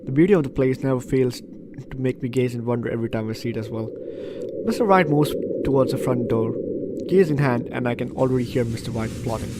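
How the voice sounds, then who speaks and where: A man's voice: mid-range voice, fairly quick, heavy background noise. A man hosting a let's play, reading a visual novel aloud and breaking off into chatty, reactive asides. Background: faint game music.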